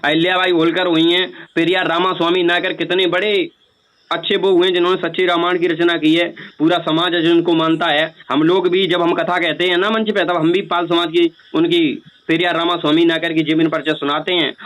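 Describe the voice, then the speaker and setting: Man, higher-pitched voice, insistent, lecturing, rhetorical questions, argumentative recorded phone call. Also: level tone, brisk, fairly bright tone, clear, resonant tone. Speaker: speaker who performs religious stories on stage, on a call.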